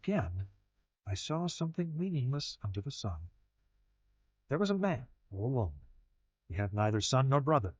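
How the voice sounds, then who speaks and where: A man narrating a dramatized scripture audiobook in a solemn, measured manner, with deliberate pauses. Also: mid-range voice, very animated, no room sound. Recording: slightly dull audio with music behind. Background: music.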